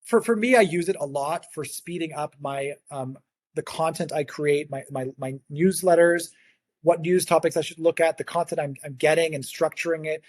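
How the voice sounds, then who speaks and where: A man, a native English speaker, giving earnest explanatory interview answers as a guest, sometimes halting and repeating words. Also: fairly high pitch, a little mellow, no echo. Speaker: guest, agritech consultancy founder.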